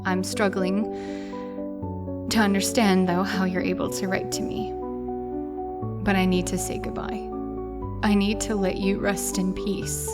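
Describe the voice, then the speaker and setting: A woman's voice: medium pitch, little pitch movement, measured pace, long pauses, loud music behind the voice, natural voice. A woman playing a grieving partner in an audio drama, tenderly reading out a farewell letter. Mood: sorrowful, tender.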